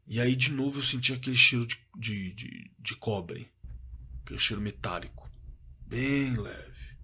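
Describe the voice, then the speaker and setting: Guy acting a spooked man telling what he saw, husky bright voice, keeps stammering and repeating himself, audio drama. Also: mid-range voice, a little slow. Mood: shaken, haunted. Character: shaken male witness recounting a creature encounter at a cabin. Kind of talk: audio drama testimony, conversational.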